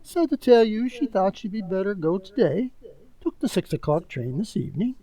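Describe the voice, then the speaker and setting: A man's voice: high-pitched, lively intonation, moderate pace, mellow, voice pushed up. A man playing a polite, servile butler with formal manners in a radio mystery drama. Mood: polite, deferential.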